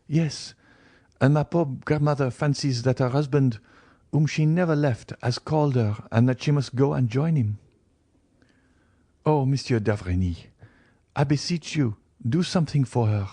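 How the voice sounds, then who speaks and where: A man reading an audiobook, voicing an anxious, gentle young noblewoman in an earnest, pleading manner. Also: mid-range voice, moderate pace, no room sound, voice pushed up, close to an everyday voice.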